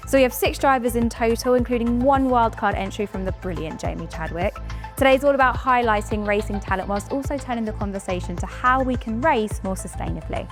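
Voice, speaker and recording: A woman's voice: on the high side, brisk, over music. A woman hosting and presenting an esports racing event. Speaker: host. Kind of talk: presenting a competition.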